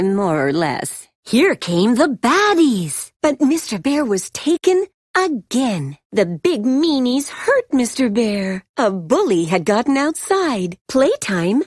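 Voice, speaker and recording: A woman's voice: fairly high pitch, darkish tone, dry recording, studio quality, exaggerated voice. A woman playing a playful storybook narrator in a game, telling a children's adventure in a theatrical, sing-song, exaggerated way. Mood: wry, dramatic.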